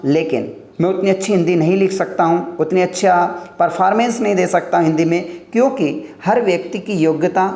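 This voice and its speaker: A man's voice: high-pitched, creaky, a little mellow, roomy sound. A man giving an earnest motivational talk on career choices, stacking points in lists, as a teacher addressing his viewers. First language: Hindi.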